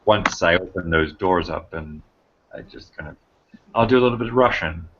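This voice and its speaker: Deep-voiced hesitant man, lo-fi. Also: moderate pace, slightly rough. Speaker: study group member. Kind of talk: casual chat. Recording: dull lo-fi recording.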